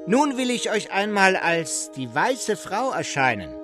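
A man voicing an excitable fourteen-year-old girl given to breathless, frightened exclamations in a literary story reading. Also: high-pitched, a little slow, over music, voice pushed up. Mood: playful, excited.

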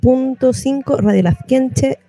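A woman's voice: high-pitched, a little slow, over music. Chilean woman hosting informal radio chat. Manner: colloquial, addresses listeners familiarly. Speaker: radio host.

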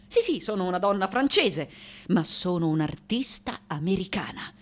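An actress playing a fiery, eccentric woman sculptor of ninety-eight in a dramatic, halting radio monologue full of emphatic repetition. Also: on the low side, lively intonation. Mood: insistent, proud. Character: fiery, eccentric 98-year-old French-born woman sculptor.

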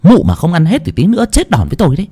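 A man's voice: on the high side, wildly swooping pitch, mellow, husky, voice pushed up, heavily acted. Man putting on a spiteful nagging peasant wife. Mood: spiteful scolding. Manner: scolding, spiteful, rapid-fire nagging. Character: harsh cruel middle-aged peasant woman.

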